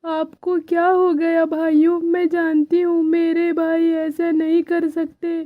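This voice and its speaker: Cartoon little-sister crow , female actor: high, sweet, concerned and trusting, slow delivery, dull dry recording. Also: completely flat delivery, dry recording. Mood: pleading. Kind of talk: Hindi children's cartoon dialogue.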